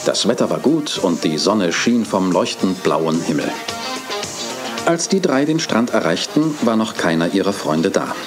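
A man narrates a children's radio play in a calm, storytelling manner. His voice is very low but moves with lively intonation. Music plays underneath. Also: unhurried.

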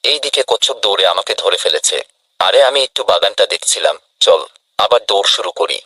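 A man's voice: sits low, expressive, brisk, pushed and bright, slightly rough, no echo, full character voice. A man voicing a vain, boastful rabbit who talks to himself in a children's cartoon. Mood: sly, hurried.

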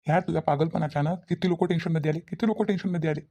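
A man's voice: on the high side, steady tone, rapid-fire, mellow. A man voicing a principled young friend in a village cartoon, scolding insistently with repeated questions in a Varhadi Marathi accent. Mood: insistent, disapproving. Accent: Varhadi Marathi (Vidarbha). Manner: reproachful, repetitive, insistent questioning.

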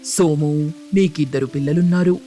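A man's voice: fairly high pitch, fairly even intonation, normal speed, dark tone, faint music behind, natural voice. A man voicing a cautious, caring crow uncle in a cartoon story, speaking in an earnest, urging manner. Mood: worried, exasperated.

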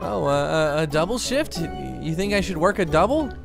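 A woman's voice: low voice, lively intonation, normal speed, creaky. A woman playing a stammering, easygoing dad. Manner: hesitant, stammering, easily swayed. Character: gullible, easygoing adult dad. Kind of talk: voiced roleplay of a game. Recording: music playing behind.

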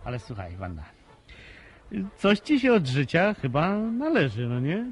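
High-voiced cocky village lad. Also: male voice, wildly swooping pitch, unhurried, husky, heavily acted. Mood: wooing, pleading. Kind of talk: Polish radio drama acting.

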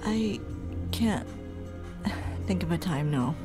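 A woman's voice: fairly low pitch, lively intonation, slow pace, music in the background. A woman playing a reserved, matter-of-fact radio station coworker in an audio drama, speaking casually. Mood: casual, puzzled. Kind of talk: horror audio drama acting.

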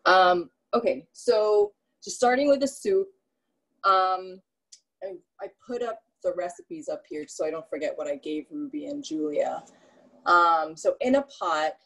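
A woman who grew up in the Philippines teaching a casual cooking class over a video call from her home kitchen, talking in a relaxed, rambling way with many fillers. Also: low-pitched, breathy edge, low-quality recording. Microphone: laptop webcam on a video call.

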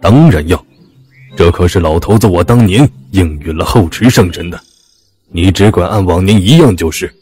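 A man's voice: low voice, normal speed, darkish tone, lightly acted. A man acting in an audio drama as an ancient immortal master, an old grumbler who frets and sighs. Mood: decisive. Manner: sighing, grumbling.

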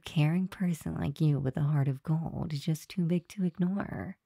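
A woman plays a sweet demon girlfriend winding down after work in an ASMR roleplay. Her voice is low and mellow, soft and confiding, full of tired affection. It is recorded close and dry. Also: no room sound. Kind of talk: ASMR roleplay, intimate monologue to listener. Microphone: close microphone, dry room.

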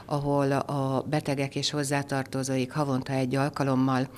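A middle-aged woman giving a formal, measured interview indoors into a handheld microphone, pausing for words. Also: rumbling low, monotone, darkish tone, slight background noise. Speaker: school head, interviewee. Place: indoors in a furnished room.

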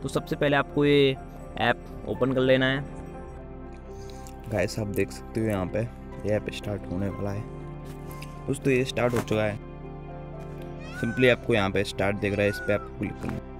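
A man's voice: on the low side, moderate pace, long pauses. A man presenting an app tutorial as a voice-over for a gaming channel. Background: background music.

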